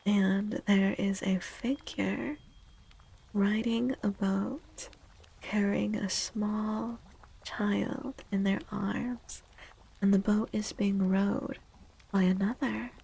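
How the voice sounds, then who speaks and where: A woman speaks very softly in an ASMR tarot-reader style, gentle and unhurried. Her voice is breathy and mellow, with a light creak at the edges, and it often slips into a whisper. She sounds reassuring throughout. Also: medium pitch, steady tone, low-quality recording. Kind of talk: soft-spoken ASMR tarot reading.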